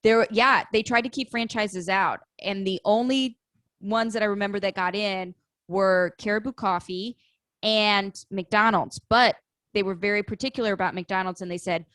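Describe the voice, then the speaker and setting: A young woman from Illinois chats as a podcast guest. She rambles with many fillers and giggles often. Her voice sits at a middle pitch and sounds close and dry. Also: fairly even intonation, normal speed, fairly bright tone, dry recording. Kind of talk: casual chat.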